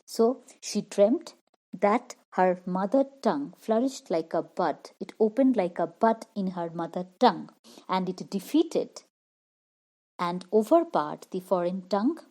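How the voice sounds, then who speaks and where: Woman, literature lesson, slow pace, mellow tone, repeats herself. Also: medium pitch, expressive. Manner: slow, repeats and rephrases each point. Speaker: literature teacher. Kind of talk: explaining a poem line by line.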